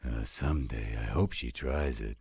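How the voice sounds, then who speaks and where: A man plays a gruff, patient older werewolf stepfather in an audio drama. The voice is extremely low, raspy and full of gravelly fry, delivered slowly and dryly, close and without echo. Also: darkish tone, exaggerated voice. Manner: gruff, dry, unhurried. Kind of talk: scripted roleplay audio drama.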